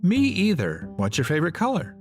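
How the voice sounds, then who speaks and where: A man playing a mellow, laid-back, sincere survivor in a radio play. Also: a little high, wildly swooping pitch, brisk, soft background music. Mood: easygoing.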